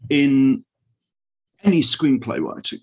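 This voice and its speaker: A man's voice: medium pitch, takes it slowly, slightly rough. A man who writes fiction, chatting casually as an interviewer and responding to his guest. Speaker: interviewer, novelist and short story writer.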